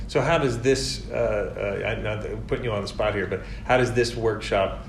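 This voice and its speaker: A man's voice: on the low side, moderate pace, gravelly. A man interviewing students at a conducting workshop, with a friendly, casual manner and short reactions. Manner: friendly, asks short questions and reacts with brief remarks. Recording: roomy with background noise.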